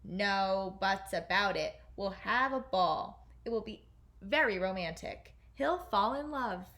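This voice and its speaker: A woman acts out an old fairy-tale king, impatient and blustering. The words are loud, emphatic and drawn out, as if every line were a royal order. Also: medium pitch, slow pace. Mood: insistent.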